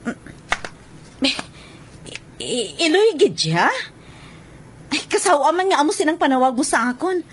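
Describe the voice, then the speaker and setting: A woman's voice: high-pitched, very animated, measured pace, long pauses. A woman acting in a radio drama as a fussing, chatty village mother worried about her son. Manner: chatty, fretful, hesitating.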